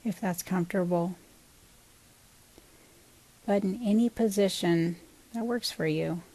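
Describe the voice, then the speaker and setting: A woman guiding a body scan meditation as a teacher, in a calm, gentle, unhurried manner with pauses between short phrases. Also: on the low side.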